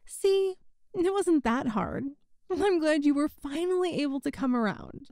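A woman's voice: high-pitched, animated, a little slow, dark tone, dry recording, clean studio sound. A woman playing a teasing young woman, a friend's older sister, in a conversational audio roleplay. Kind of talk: intimate ASMR-style audio roleplay, one side of a conversation.

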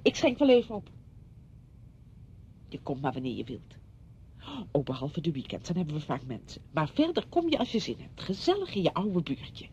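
An actress in a Dutch radio play, playing a brisk, patronising woman who fusses chattily over a widowed friend. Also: big pitch swings, darkish tone. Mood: brisk, chatty. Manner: chatty, fussing, condescending. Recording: dull radio recording with rumbling noise.

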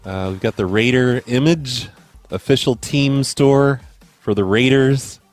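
A man doing prank phone calls as a mall Santa, in a deadpan, crude, mock-serious way. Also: mid-range voice, a little slow, over music. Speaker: prank call host.

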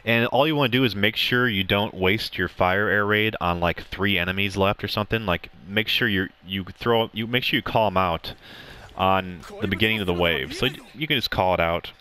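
Young guy with a really low voice explaining a game, lots of ums, game music going under him. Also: normal speed. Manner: relaxed, rambling, many ums and restarts. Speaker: gameplay commentator. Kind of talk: casual game walkthrough commentary.

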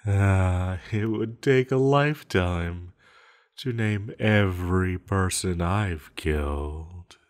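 An ancient villain sorcerer, acted by a man speaks in a low voice at an extremely slow pace. He muses calmly between hums and halting pauses, as in an intimate audio drama, with menace kept quiet underneath. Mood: grave, menacing. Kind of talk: intimate scripted audio roleplay monologue.

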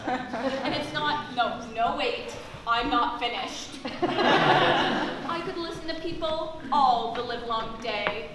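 An impatient, self-absorbed female shopper acted by a young woman in a stage comedy. She speaks high, in a very echoey hall with clear background noise. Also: slow pace. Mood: self-important. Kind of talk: amateur stage comedy acting. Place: theatre stage, distant camera. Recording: echoey stage, noisy distant recording.

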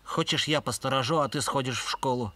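A man's voice: medium pitch, brisk, pushed and bright. A man playing a sensible, caring little schoolboy in a cartoon, speaking matter-of-factly. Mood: concerned. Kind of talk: cartoon voice acting.

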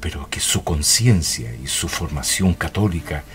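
A man's voice: extremely low pitch, expressive, a little slow. A man narrating a radio drama in a scripted, dramatic storytelling style.

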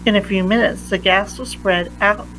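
A woman reading a children's science picture book aloud with deliberate, emphatic phrasing for young listeners. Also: mid-range voice, fairly even intonation, a bit bright, slight background noise, low-quality recording. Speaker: read-aloud reader.

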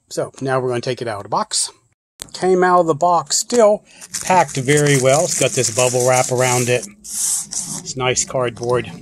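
A man's voice: mid-range voice, a little hiss or hum, rough recording. A man hosting a prepper channel, talking casually off camera as he unboxes gear on a porch and thinks aloud with loose restarts. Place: porch and yard, outdoors.

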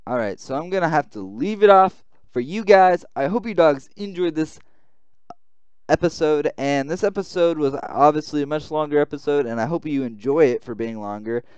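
A man's voice: on the high side, expressive, moderate pace, darkish tone, clear, resonant tone, no echo, dull audio. A man hosting a gaming video, talking casually to his viewers.

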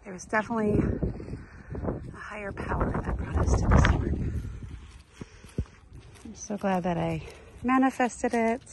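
A woman's voice: medium pitch, measured pace, gravelly, pauses often, heavy background noise. A woman filming her farm animals outdoors on her phone, chatting casually and playfully talking to the animals. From Arizona, US. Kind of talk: casual phone vlog narration.

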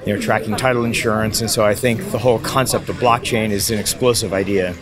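A man's voice: lowish voice, fairly even intonation, fast talker. An older man giving confident opinions in a street interview on a snowy night street, into a handheld mic. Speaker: business executive. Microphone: handheld mic held by interviewer. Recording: crowd noise on street.

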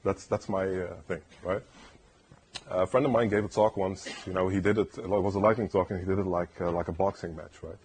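A man giving a casual technical talk at a conference, rambling and tagging "right?" onto his points in a soft, soothing voice. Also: sits low, fairly even intonation, a little fast, husky. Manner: rambling, keeps asking "right?". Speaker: conference speaker. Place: lecture room.

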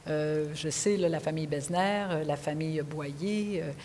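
A woman's voice: low voice, moderate pace, darkish tone. A woman in her sixties with a Quebec French accent, speaking off the cuff as a panelist on an auditorium stage. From Quebec, Canada. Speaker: panelist, retired judge. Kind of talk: unscripted panel discussion. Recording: reverberant hall, noisy background.

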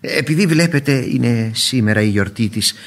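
A courteous, indulgent older uncle in a radio drama. His husky voice moves widely in pitch as he gives patient, polite replies. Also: animated. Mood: mild. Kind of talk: Greek radio theatre acting.

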